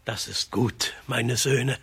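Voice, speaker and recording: A gentle old peasant father for a children's radio play. He speaks slowly and earnestly, with a very raspy, breathy voice and a halting, careworn manner. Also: medium pitch, fairly even intonation, heavily acted. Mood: awed, relieved.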